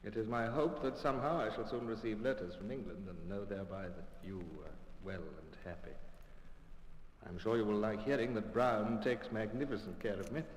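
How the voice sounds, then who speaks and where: Deep-voiced, weary naval commander. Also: male voice. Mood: tender, measured. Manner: halting, throat-clearing, formal, understated. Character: tired, proud, gruffly kind male British naval commander. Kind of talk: old-time radio drama acting. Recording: noisy old radio drama recording.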